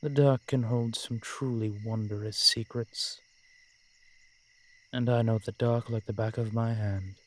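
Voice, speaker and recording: A man playing a centuries-old vampire general in a roleplay audio drama, protective and proud, speaking with courtly, measured phrasing and dramatic pauses. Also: mid-range voice, steady tone, natural voice. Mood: reassuring. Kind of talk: roleplay audio drama, addressed intimately to one listener.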